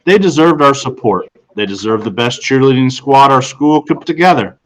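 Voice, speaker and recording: A man's voice: medium pitch, normal speed, fairly bright tone, a little hiss or hum, low-quality recording. A man reading a first-person storybook narration aloud. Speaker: audiobook reader.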